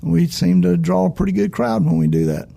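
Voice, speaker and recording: A man hosting a casual interview, gravelly and husky, relaxed and quick to laugh. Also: high voice. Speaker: host.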